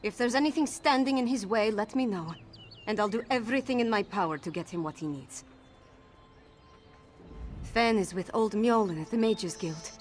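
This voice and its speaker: A woman voicing a resolute young princess in a video game, speaking in a firm, earnest and measured way over game music. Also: mid-range voice, natural voice. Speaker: princess of the city.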